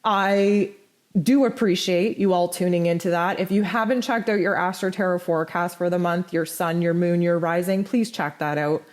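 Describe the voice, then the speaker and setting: A woman with a hoarse, strained voice giving a blunt, animated spiritual forecast as host of her own channel. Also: moderate pace. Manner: blunt, animated, pitch jumps high for emphasis. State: hoarse, nearly lost voice. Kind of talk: casual spoken forecast.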